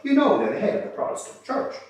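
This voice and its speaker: A repentant Irish exile, played by a man reciting satirical verse, aggrieved and fawning, with theatrical over-earnestness. The pitch is pushed high and the sound is distant and heavily reverberant. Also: huge pitch swings, quick pace, heavily acted. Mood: pleading, effusive. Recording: distant, very echoey room.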